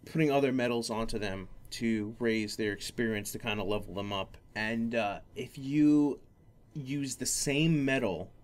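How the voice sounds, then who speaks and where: A man hosting a casual gaming podcast, talking in a rambling, halting way that often circles back on itself. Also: mid-range voice. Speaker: podcast host.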